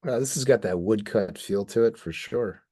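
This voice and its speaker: A man's voice: on the low side, normal speed, warm tone, husky. A man co-hosting a show, chatting casually and reacting with exclamations and enthusiasm. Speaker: show co-host. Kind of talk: casual chat, reacting to comic art.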